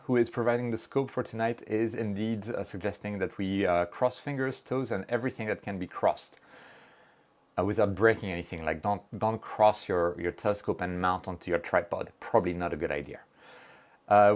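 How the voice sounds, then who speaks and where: Chatty livestream host, low voice. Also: male voice. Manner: chatty, reads chat messages aloud, self-joking; explains technical steps plainly. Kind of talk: casual livestream chat.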